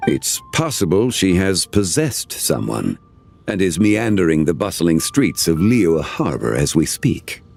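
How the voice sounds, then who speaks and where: Very deep, formal ancient immortal. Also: male voice, big pitch swings, creaky, darkish tone, soft background music, heavily acted. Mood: calm, formal. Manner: measured, formal, archaic phrasing. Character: ancient, composed, formal male immortal, solemn and courteous. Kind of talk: scripted video game voice acting.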